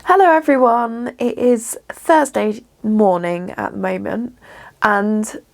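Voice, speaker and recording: A woman's voice: a little high, takes it slowly, vocal fry. A woman vlogging casually from her home craft studio, talking through her work with plenty of ums and restarts, a little bunged up. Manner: chatty, many ums and restarts. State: slightly bunged up from a runny nose. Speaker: small business vlogger. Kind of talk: casual vlog chat to camera.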